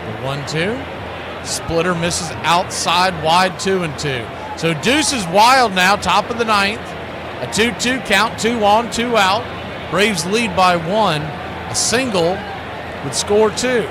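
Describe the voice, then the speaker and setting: A man's voice: sits high, slow, noisy background. A man doing live radio-style baseball play-by-play in clipped, punchy phrases with folksy slang.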